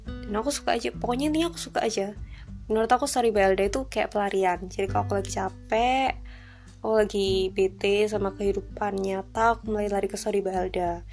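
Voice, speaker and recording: A woman in her twenties with a light Javanese accent, hosting her own podcast in a chatty, self-deprecating way and dropping in English phrases, her intonation a little flat. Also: medium pitch. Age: 20s. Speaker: podcast host. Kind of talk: casual solo podcast monologue. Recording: music playing under the voice.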